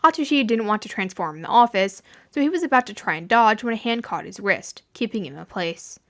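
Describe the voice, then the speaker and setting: A woman reading fan fiction aloud as an expressive narrator. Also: medium pitch, brisk. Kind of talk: expressive scripted story narration.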